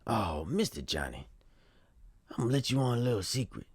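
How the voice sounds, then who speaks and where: An actor playing a weary, kindly piano player who speaks in a folksy, ungrammatical way in a period audio drama. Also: male voice, medium pitch, big pitch swings, a little fast, husky, no room sound, clean studio sound. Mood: gentle, confiding. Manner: folksy, ungrammatical, plain-spoken.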